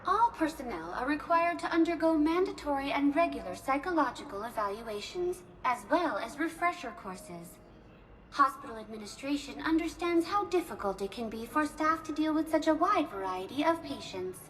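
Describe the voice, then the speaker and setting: A high-pitched female hospital receptionist from a video game, with a lively, swooping melody. She is polite and efficient, laying out procedures in formal, precise phrasing. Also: normal speed, low-quality recording. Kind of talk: scripted informational game dialogue.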